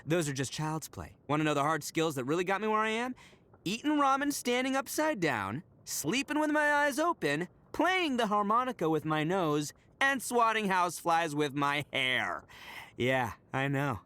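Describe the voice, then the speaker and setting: A man's voice: high-pitched, big pitch swings, moderate pace, sharp tone, smooth, no echo, full character voice. A man voicing a brash, boastful, big-hearted young oni gang boss in a video game, boisterous and slangy in a theatrical way. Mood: cocky. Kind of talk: video game character voice acting.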